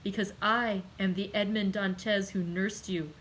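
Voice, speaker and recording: A woman voicing a calm, commanding nobleman who speaks slowly, quietly and with measured firmness in an audiobook. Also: medium pitch, slight background noise, lightly acted, low-quality recording. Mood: firm. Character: calm, commanding older nobleman, gently stern. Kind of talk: audiobook character reading.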